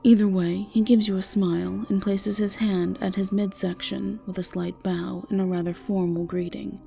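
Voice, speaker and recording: A woman narrates a scripted fantasy audio roleplay. Her voice sits at a middle pitch with a marked creak, and soft music plays faintly behind her. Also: level tone, normal speed.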